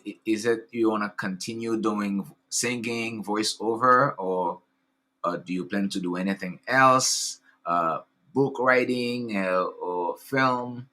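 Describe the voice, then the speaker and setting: A man with a Haitian accent hosts a casual interview, eager and halting, stumbling over his restarts. His voice is bright and forward. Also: on the low side. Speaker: host.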